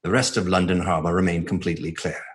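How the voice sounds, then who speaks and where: An actor playing an earnest Victorian gentleman who narrates reports of a vampire hunt in a radio drama, measured and formal. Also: sits low, level tone, natural voice. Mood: grave, reporting. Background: soft music.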